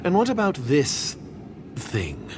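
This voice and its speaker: A man's voice: mid-range voice, wildly swooping pitch, takes it slowly, very noisy, full character voice. A man playing a suspicious, curt agent in a video game, guarded and dismissive in a formal way. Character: suspicious, curt male foreign agent plotting in secret. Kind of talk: video game villain acting.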